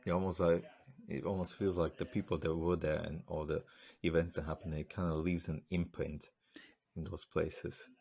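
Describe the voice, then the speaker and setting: Very deep-voiced man, rambling. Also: level tone, moderate pace, gravelly, warm tone, husky. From Slovakia. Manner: rambling, storytelling with many fillers. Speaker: podcast host. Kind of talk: casual interview chat.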